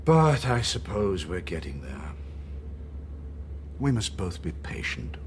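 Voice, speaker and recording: A regime interrogator in a radio drama, low-voiced and hugely varied in pitch. He is measured and condescending, with a mock-kindly air that carries menace. Some background noise sits behind him. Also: very animated, heavily acted. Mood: exasperated, lecturing. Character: calm, patronising male interrogator of a totalitarian regime. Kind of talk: naturalistic radio drama acting.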